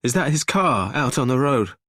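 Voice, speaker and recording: A man voicing a frantic grown man who shouts in a raised, higher voice. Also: very animated, moderate pace, no echo, studio quality. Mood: agitated. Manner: loud and agitated.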